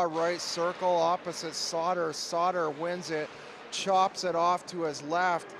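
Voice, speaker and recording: A man with a cold, hoarse and losing his voice, calling ice hockey play-by-play in a steady, measured way from an arena. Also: high voice, noisy background. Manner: steady, measured call that climbs to shouting on goals. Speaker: play-by-play commentator.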